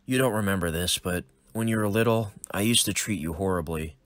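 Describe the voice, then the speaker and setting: A weary middle-aged hitman father, protective of his son, voiced by a man. The voice is fairly low, and the recording is close and dry. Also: fairly quick, dry recording, natural voice. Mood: somber.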